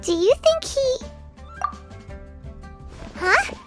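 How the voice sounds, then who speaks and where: Tiny fairy sidekick for a game: extremely high, lively childlike pitch, slightly slow, fretful. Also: female voice, faint music behind, full character voice. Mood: worried. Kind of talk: video game voice acting.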